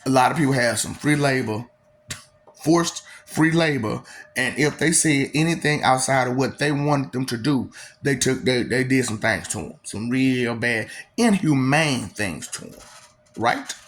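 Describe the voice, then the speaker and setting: A man's voice: medium pitch, unhurried, a bit bright, a little hiss or hum. A man giving a casual, preachy monologue full of rhetorical questions and filler.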